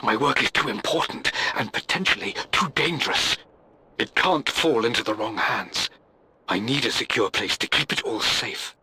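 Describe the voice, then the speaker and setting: A man's voice: mid-range voice, animated, normal speed, bright, forceful tone, slight background noise. Tense, secretive male scholar. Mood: guarded worry. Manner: tense, earnest, guarded. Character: secretive, wary, driven male scholar and father. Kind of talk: recorded journal narration.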